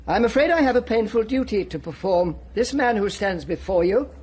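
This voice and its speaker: A man's voice: high-pitched, expressive, normal speed, darkish tone. Pompous male director, noisy backdrop. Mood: pompous, grave. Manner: formal, pompous. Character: pompous, officious male director, indignant and authoritarian. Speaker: director of a hatchery. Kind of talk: radio drama acting.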